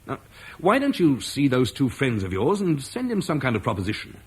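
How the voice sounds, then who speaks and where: An actor playing Marcus, a duty-bound Roman officer, in an old radio play, earnest and measured. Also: male voice, medium pitch, huge pitch swings, husky. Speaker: Roman commander leaving Britain. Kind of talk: 1950s BBC radio drama acting.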